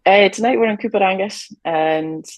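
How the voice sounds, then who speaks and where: A young Shetland woman sailor chatting about music in a relaxed interview on a video call from a hotel room, with plenty of ums and easy laughs. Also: mid-range voice, normal speed, a crowd in the background. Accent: Shetland Scottish. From Shetland, Scotland. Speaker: sailor and fiddler, podcast guest. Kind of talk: casual interview chat.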